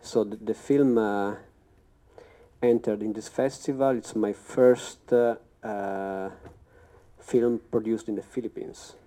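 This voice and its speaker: A man introducing himself as an actor, director and producer on a film festival panel, speaking slowly and hesitantly while searching for words. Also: fairly low pitch, fairly even intonation, dark tone.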